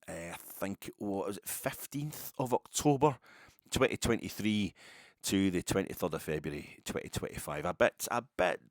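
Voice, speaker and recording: Scottish male fan podcaster, low voice, very dry close sound, loose colloquial solo chat. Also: no echo. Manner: rambling, wry, colloquial. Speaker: football fan podcast host.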